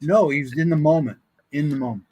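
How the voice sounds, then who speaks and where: A man's voice: on the high side, quick pace, warm tone. A man chatting casually and telling anecdotes as a voice actor guest on a podcast.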